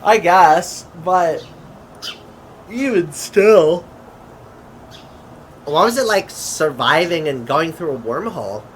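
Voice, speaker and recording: A man acting a chatty interdimensional adventurer in a conversational audio drama, with a halting, hesitant delivery. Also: fairly high pitch, animated, a little hiss or hum. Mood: casual, bemused.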